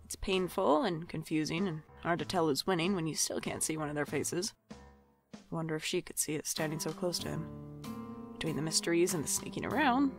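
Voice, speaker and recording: A woman's voice: on the low side, vocal fry. A woman playing a wry, jumpy night-shift shop worker who narrates an audio drama in a dry, sardonic way. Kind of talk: audio drama acting with first-person noir narration. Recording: music bed behind the voice.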